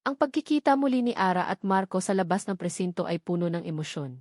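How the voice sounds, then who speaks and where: A woman's voice: lowish voice, lively intonation, fast, darkish tone, dry recording, clean studio sound. A woman narrator reading a scripted romance story in a dramatic storytelling style.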